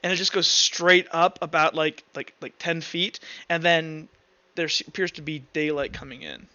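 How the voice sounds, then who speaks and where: A man's voice: a little high, fairly even intonation, normal speed, pushed and bright, dry recording, highs cut off. A man acting as game master, casually describing a scene and repeating words as he improvises.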